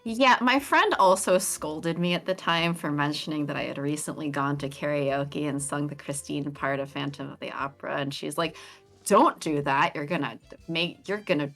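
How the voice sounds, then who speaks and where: A young woman chatting casually on a game stream, talking in a melodic, animated way with lots of pitch variation. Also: normal speed, gravelly. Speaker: streamer co-host.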